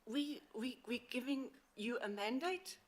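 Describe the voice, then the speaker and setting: A woman with an accent from outside the city presents to a council committee on a handheld mic, earnest and halting with frequent fillers. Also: on the high side, expressive. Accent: non-local accent. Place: council meeting chamber. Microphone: handheld microphone.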